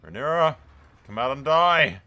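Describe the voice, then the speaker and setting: A man's voice: mid-range voice, very animated, a little slow, bright, forceful tone, soft background music, pitched above the performer's own voice. A man roleplaying a proud, wrathful warrior prince who rides a dragon, declaiming defiantly in a fantasy game. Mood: furious challenge.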